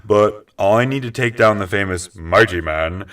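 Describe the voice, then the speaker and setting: A man's voice: on the low side, moderate pace, fairly bright tone, far from the mic, lightly acted. A man playing a cocky, wry vigilante detective with no powers in a comic superhero audio drama, talking casually and sardonically. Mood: mocking, amused.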